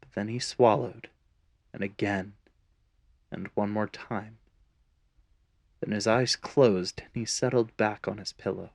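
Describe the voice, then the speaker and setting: A man's voice: on the low side, no echo, studio quality. A man narrating a scripted fantasy story in a dramatic storytelling style.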